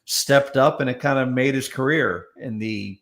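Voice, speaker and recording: Creaky-voiced man chatting as a guest. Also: medium pitch, moderate pace, a bit bright. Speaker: guest on a talk show, comedy writer. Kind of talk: casual chat.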